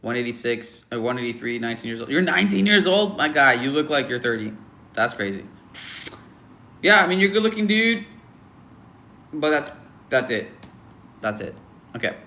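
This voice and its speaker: A man's voice: medium pitch, sing-song, moderate pace, pauses often, bright, forceful tone. A young man hosting a casual livestream, giving blunt, offhand verdicts on viewers' photos with lots of fillers and teasing jokes at the chat. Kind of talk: casual live-stream chat.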